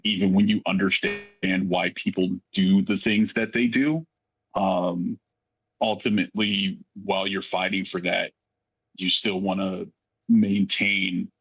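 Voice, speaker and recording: A man who works as a voice actor, speaking off the cuff in a thoughtful, halting way as he reflects during a cast discussion. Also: low-pitched, level tone, a bit bright, breathy edge, dry recording, dull audio. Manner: thoughtful, halting, searching for words. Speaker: voice actor in a fiction podcast.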